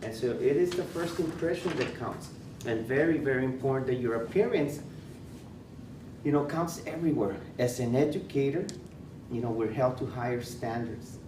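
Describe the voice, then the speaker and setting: A man's voice: medium pitch, normal speed. Man, Spanish accent, principal teaching a workshop, lots of fillers and restarts, distant mic, background noise. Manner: repeats words, many fillers and restarts. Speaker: school principal giving interview advice. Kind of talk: informal workshop talk. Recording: echoey room, far from mic, noisy.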